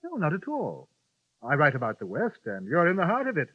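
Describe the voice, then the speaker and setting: A wry English gentleman reporter. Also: on the high side, wildly swooping pitch, a little fast, slightly rough, no room sound, exaggerated voice. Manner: courteous, formal and lightly ironic. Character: polite, dry-witted English journalist in the West. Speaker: newspaper correspondent and narrator. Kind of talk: 1950s radio drama acting. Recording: dull old radio broadcast with faint music.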